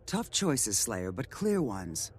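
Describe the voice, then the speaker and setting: Solemn, noble veteran hero. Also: male voice, medium pitch, very animated. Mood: solemn, earnest. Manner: measured, solemn. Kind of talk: fantasy video game acting.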